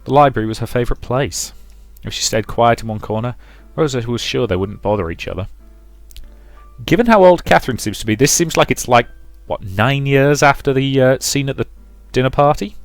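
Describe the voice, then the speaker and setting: A man's voice: medium pitch, a little fast, dry recording, soft background music. A man doing a let's play, reading a visual novel aloud and dropping in casual, chatty asides.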